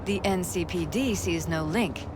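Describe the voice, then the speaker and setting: A woman's voice: lowish voice, unhurried, background noise, lightly acted. A woman playing a poised, shrewd middle-aged politician's wife in a video game, guarded and businesslike. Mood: guarded, matter-of-fact. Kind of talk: cinematic video game acting.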